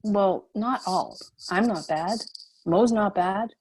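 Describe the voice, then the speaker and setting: A woman playing a guarded but caring leader in a screenplay table read, measured and matter-of-fact. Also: medium pitch, darkish tone, husky. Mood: reassuring.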